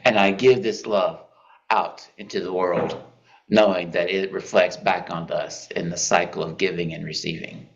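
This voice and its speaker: A man giving a calm, affirming closing prayer for a congregation. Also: sits low, normal speed. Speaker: prayer leader. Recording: lo-fi muffled recording.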